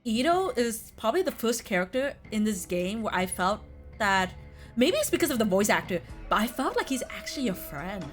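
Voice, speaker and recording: Animated, higher-voiced woman chatting about games. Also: fairly quick, soft background music. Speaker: host of a gaming tier list stream. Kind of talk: casual gaming discussion.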